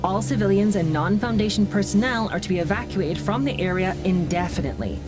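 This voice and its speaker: An actress playing a formal female official reading containment documents in an audio drama, measured and scripted. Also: medium pitch, expressive, over music, natural voice.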